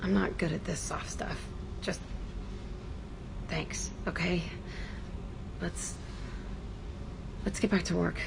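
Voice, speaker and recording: An actress voicing a tough, volatile young female ex-convict who talks rough and defiant, in a game cutscene. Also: on the low side, steady tone, slow, pauses often, heavily acted. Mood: gruff. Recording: game audio with faint ambient noise.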